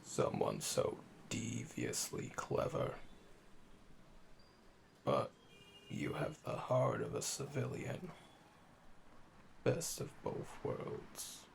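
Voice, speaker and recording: A male actor plays a calm, obsessive assassin in an intimate ASMR audio roleplay. His voice is heavily creaky and gravelly, and he takes his time, teasing and flirting with smug deliberation. Clear noise runs behind the voice. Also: on the low side, extremely slow, long pauses, heavily acted. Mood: admiring, seductive.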